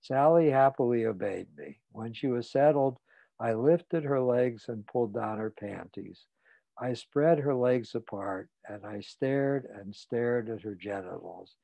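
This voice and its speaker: A man's voice: medium pitch, vocal fry, warm tone, no echo, voice pushed down. A man reading an audiobook, voicing a frightened, ashamed man who speaks in a muted voice. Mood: shamed. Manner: muted, halting, confessional. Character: ashamed, frightened middle-aged man confessing.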